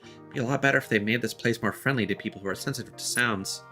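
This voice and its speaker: A man's voice: medium pitch, quick pace, pushed and bright, close to an everyday voice. Guy doing a shy clingy anime game girl, plaintive and earnest, bit of music behind. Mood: uncomfortable. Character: sound-sensitive, clingy, shy teenage girl in a video game. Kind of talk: reading game dialogue aloud.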